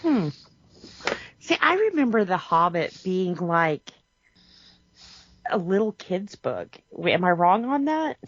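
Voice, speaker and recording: A middle-aged woman co-hosting a casual podcast, chatty and animated as she tells stories. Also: fairly low pitch, takes it slowly, pauses often, dry recording. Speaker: podcast co-host. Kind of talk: casual chat. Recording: dry, slightly dull lo-fi audio.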